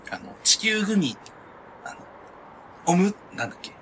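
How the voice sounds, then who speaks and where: A man talks as a podcast co-host in a casual chat among friends. His pitch swings widely as he explains things and chimes in with agreement. A faint layer of noise sits behind his voice. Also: sing-song, moderate pace, a bit bright.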